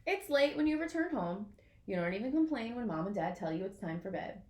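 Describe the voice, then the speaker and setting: A woman reading a children's picture book aloud in an expressive way, as if to young listeners. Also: medium pitch, fairly quick, reverberant. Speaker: storybook reader.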